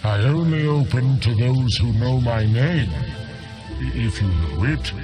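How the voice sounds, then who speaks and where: A man's voice: mid-range voice, expressive, takes it slowly. A man voicing a haughty, grudging enchanted talking door demon in a fantasy video game, aloof and dismissive. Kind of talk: video game character acting. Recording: loud music behind the voice.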